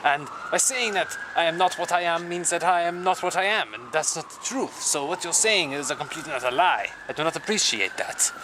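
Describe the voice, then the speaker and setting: A young man playing a self-styled wandering samurai, rambling in a deadpan way with sudden crude outbursts, recorded outdoors on city streets. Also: a little high, a little fast, hardly any pauses, close to an everyday voice. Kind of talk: improvised character comedy to camera. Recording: loud street and traffic noise.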